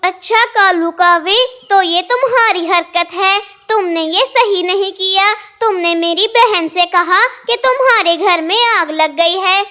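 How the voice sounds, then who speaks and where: A woman's voice: extremely high pitch, a little slow, hardly any pauses, sharp tone, exaggerated voice. A woman voicing a sensible little female bird in a children's cartoon, speaking in an admonishing way. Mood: reproachful. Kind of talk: Hindi kids' cartoon dubbing.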